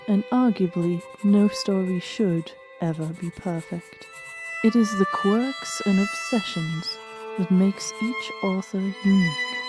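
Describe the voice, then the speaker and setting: A woman reading scripted stories aloud as a narrator and host. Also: on the low side. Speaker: host and narrator of a fan fiction reading show.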